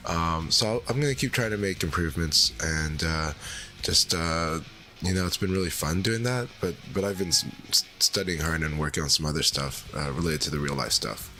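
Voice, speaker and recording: A man hosting a livestream in a relaxed, rambling way, his voice deeper than usual after secondhand smoke. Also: moderate pace. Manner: relaxed, rambling, many fillers. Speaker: streamer. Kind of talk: casual livestream chat.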